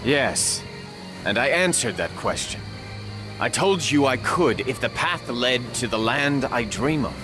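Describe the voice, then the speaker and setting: Man acting a slow, solemn, noble warlord. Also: medium pitch, animated, over music, close to an everyday voice. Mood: solemn resolve. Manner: solemn, courteous, deliberate. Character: noble, earnest, kindly male warlord. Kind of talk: dramatic video game voice acting.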